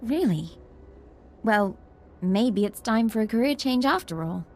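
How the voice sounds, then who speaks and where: An actress playing an earnest young ensign in a video game, polite and dutiful in manner. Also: female voice, on the high side, lively intonation, measured pace, darkish tone. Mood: surprised, eager. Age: young adult. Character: earnest, eager young female military ensign.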